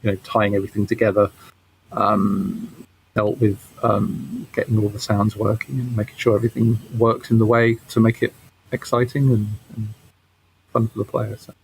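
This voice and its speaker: A man giving casual interview answers as a game developer, halting and often repeating his words. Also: lowish voice, level tone, vocal fry, a little hiss or hum, rough recording.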